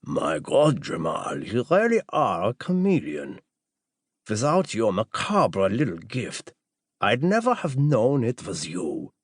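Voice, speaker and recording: Audiobook conspirator doctor, played by a man: slow, formal, wary, deliberate. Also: mid-range voice, wildly swooping pitch, husky, dry recording, clean studio sound, full character voice. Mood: amazed, wary. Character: measured, wary male doctor, a wealthy criminal conspirator.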